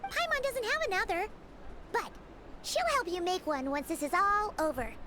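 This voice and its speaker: Super high little fairy girl for a video game, excitable n childlike, really noisy audio. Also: normal speed. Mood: excited. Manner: third-person self-reference, stammers when flustered.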